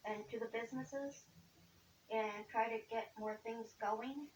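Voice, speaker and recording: A woman's voice: fairly high pitch, steady tone, a little slow. A woman working as a community liaison, asking interview questions in an informal way. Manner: informal, leans on "basically" and "okay". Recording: roomy echo, distant mic, faint hiss.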